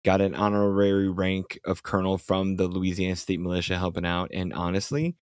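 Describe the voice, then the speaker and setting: A man co-hosting a casual film podcast, giving measured, firm opinions. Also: sits low, little pitch movement, darkish tone, smooth, dry recording, studio quality. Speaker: podcast co-host.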